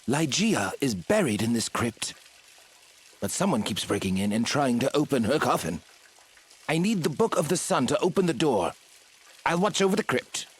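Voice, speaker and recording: A man's voice: medium pitch, slightly rough. A man playing an anxious, devoted nobleman in a video game, speaking with earnest, melodramatic urgency. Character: anxious, devoted nobleman fearing for his wife. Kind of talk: theatrical game cutscene acting.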